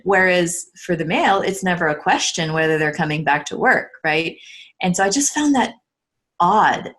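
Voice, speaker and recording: A middle-aged woman talking earnestly and reflectively as a guest in a casual conversation. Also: lowish voice.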